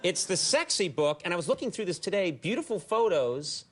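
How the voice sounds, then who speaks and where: A man hosting a late-night talk show, bantering with a guest and tossing in quick jokes. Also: high-pitched, big pitch swings, a bit bright. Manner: joking, quick interjections. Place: TV studio with live audience. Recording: studio audience and noise behind.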